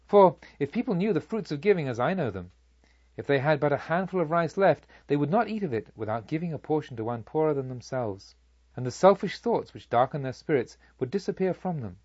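A man voicing a serene Buddha, a kindly sage who teaches in a measured, solemn, rhetorical way in an audiobook. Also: a little high, expressive, a little mellow, breathy edge, no echo, lo-fi sound. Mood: gracious, solemn. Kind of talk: audiobook character speech.

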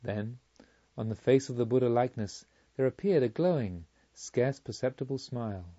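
A man reading scripted literary narration as an audiobook reader, in a solemn, measured manner. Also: medium pitch, warm tone, lo-fi sound.

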